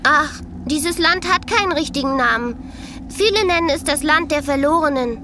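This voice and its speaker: Woman doing a mysterious little girl in a radio play, super high voice, innocent and friendly, bit of background sound. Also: moderate pace, background noise, close to an everyday voice. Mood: helpful, innocent. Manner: simple, childlike, secretive answers. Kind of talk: German audio drama acting.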